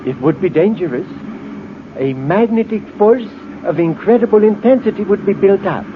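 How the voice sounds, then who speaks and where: An actor playing an earnest, cautious space scientist in a 1950s radio drama, explaining things deliberately. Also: male voice, high voice, expressive, phone-quality sound. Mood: cautious, intrigued. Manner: deliberate, pondering, expository. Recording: very thin, noisy old radio recording.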